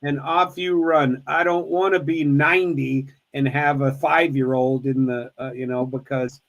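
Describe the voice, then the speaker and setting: A man's voice: medium pitch, steady tone, unhurried, fairly bright tone, lo-fi sound. Rambling man in his sixties. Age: 60s. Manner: rambling, joking, with many restarts and fillers. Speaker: host of live stream. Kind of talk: casual family chat on a live stream.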